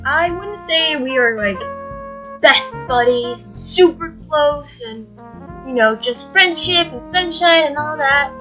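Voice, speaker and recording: A woman's voice: sits high, animated, slow pace, sharp tone, soft background music, low-quality recording. A woman in casual chat, answering questions as a member of a cast.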